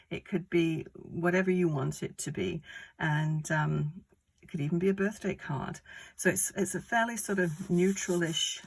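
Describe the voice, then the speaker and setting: Croaky, low-voiced woman teaching crafts. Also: a little hiss or hum, rough recording. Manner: chatty, rambling step-by-step explanations with many fillers. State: croaky from a cold. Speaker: card-making instructor. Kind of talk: casual crafting tutorial.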